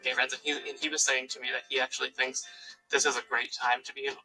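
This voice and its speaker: Man, twenties, casual interview talk full of "like", bright tone, music bed. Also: medium pitch, flat delivery, quick pace, low-quality recording. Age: 20s. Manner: peppers speech with "like". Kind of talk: interview testimonial.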